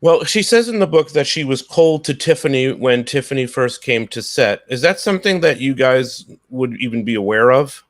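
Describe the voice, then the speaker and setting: A man's voice: medium pitch, lively intonation, normal speed, hardly any pauses, no room sound. Man hosting with a dry, close sound. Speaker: podcast host. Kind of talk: casual live podcast chat. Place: small room at home, indoors.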